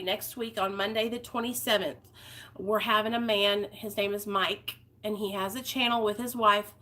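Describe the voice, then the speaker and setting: A woman's voice: a little high, bright, forceful tone. Candid woman in her thirties. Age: 30s. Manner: candid, heartfelt, rambling with fillers. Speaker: vlogger. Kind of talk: personal recovery vlog, talking to viewers.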